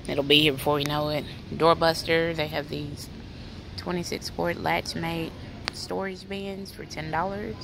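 A woman's voice: deep voice, level tone, a little slow, vocal fry. A woman vlogging as she walks through a large store, reading out sale prices in a chatty, practical way on her phone camera. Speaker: shopping vlogger. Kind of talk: walk-through store haul narration. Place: large indoor retail store. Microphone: handheld phone camera. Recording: store ambience noise behind. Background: store ambience.

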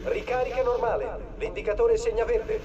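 A man's voice: extremely high pitch, normal speed. A male actor playing an attentive, methodical military systems technician in a video game, speaking with respectful procedural formality. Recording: dull band-limited sound, clearly noisy background.

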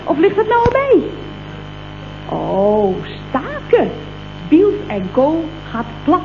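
An actress from the Netherlands with a Dutch accent, playing a chatty, gleeful, teasing office colleague in a comic radio play, gushing in exclamations. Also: female voice, sits high, wildly swooping pitch, takes it slowly, soft background music, exaggerated voice. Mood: coy, curious. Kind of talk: late 1960s comic radio play acting. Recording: tinny, phone-like old radio recording, faint noise.

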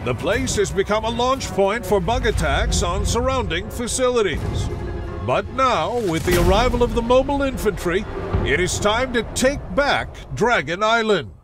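An actor playing a dramatic military announcer, narrating a video game briefing in an emphatic, theatrical way. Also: male voice, sits high, expressive, normal speed, music in the background. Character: dramatic propaganda-style male military announcer.

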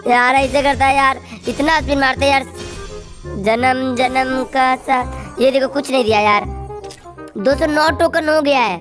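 A woman's voice run through a voice changer, hosting casual gameplay commentary, chatty and repeating phrases. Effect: voice changer raising the pitch. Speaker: gaming video host. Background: background music.

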